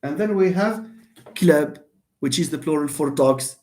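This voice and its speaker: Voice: medium pitch, measured pace, darkish tone. A university lecturer giving a live online lecture, emphatic, repeating phrases and speaking straight to students.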